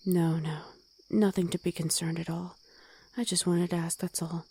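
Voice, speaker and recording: A woman voicing a gruff, protective wolf girl who talks bluntly in an ASMR roleplay drama. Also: fairly low pitch, little pitch movement, moderate pace, dark tone, slight background noise, close to an everyday voice. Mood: gruffly caring. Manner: blunt, commanding, gruffly teasing.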